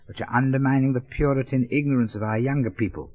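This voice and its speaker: A man's voice: mid-range voice, steady tone, fast, lightly acted. Dull vintage 1930s record, pompous male moral crusader acted by a man, upper-class English accent, prudish, hesitant oratory, comic monologue. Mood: pompous pleading.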